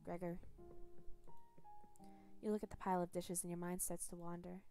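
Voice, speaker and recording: A woman streamer narrating a video game in a low, even, subdued voice. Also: moderate pace, soft background music.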